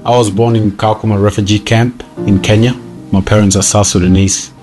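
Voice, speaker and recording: A man's voice: on the low side, level tone, brisk, over music. A man, a footballer, giving an earnest, proud testimonial in a scripted promo video.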